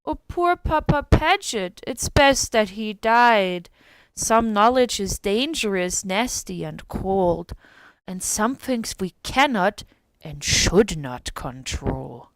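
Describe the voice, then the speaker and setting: Woman as a stern father, animated delivery. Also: medium pitch, slow, no echo, poor audio. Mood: grave warning. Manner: grave, warning, emphatic. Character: stern, protective, grave storybook father.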